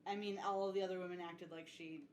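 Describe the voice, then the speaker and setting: A woman's voice: lowish voice, steady tone, fast, a crowd in the background. A woman chatting casually as a podcast panelist, giving opinions in an informal, wry way. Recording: roomy echo, faint background noise.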